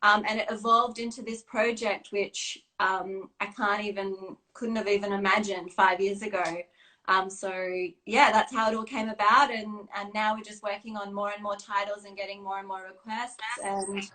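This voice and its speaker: A woman's voice: mid-range voice, flat delivery, moderate pace, pushed and bright, low-quality recording. A woman talking casually as an interview guest on a live video call, chatty and self-deprecating, with many fillers and restarts. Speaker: guest, children's book creator. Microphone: phone or video call.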